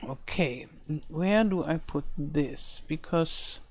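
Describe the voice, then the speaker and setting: Slow-talking German-accented older woman. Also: deep voice. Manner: thinking aloud, searching for words. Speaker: let's-play creator. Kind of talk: casual commentary while building a game map. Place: home in rural France.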